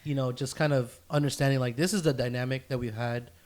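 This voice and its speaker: A man's voice: mid-range voice, steady tone, fast, darkish tone. Young male podcast host, mid-range voice. Casual storyteller, slangy, sweary, repeats phrases. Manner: slangy, profane, repeats himself for emphasis.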